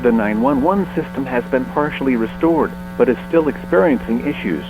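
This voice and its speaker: A man reading a scripted emergency broadcast announcement in a formal, measured way. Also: mid-range voice, lively intonation, music in the background. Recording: muffled narrow-band alert audio.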